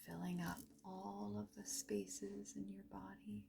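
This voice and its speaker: A woman leading a guided meditation in a soft, breathy half-whisper, gently and with long pauses. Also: medium pitch, little pitch movement, background noise. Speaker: meditation guide, yoga teacher. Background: music.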